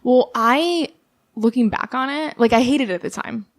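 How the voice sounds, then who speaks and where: A woman's voice: a little high, moderate pace. A young woman from New Jersey whose first language was Serbian, chatting casually as a podcast guest and telling stories with many "like" fillers. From New Jersey, USA. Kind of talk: casual conversational interview.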